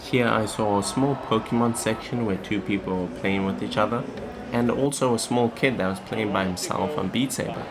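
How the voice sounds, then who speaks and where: A man's voice: fairly low pitch, normal speed, background noise. A young man narrating a scripted vlog. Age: young adult. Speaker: vlogger.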